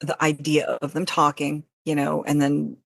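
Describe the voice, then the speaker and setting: A woman guest on a podcast, talking casually and haltingly as she searches for words. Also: deep voice, completely flat delivery, gravelly, no echo. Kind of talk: casual chat.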